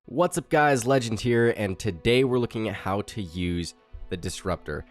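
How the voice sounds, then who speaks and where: A man's voice: on the low side, normal speed, over loud music. A man with a cold, his voice a little off, giving an upbeat scripted gaming tutorial straight to his viewers. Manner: upbeat, explanatory, direct to viewers. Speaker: gaming YouTuber.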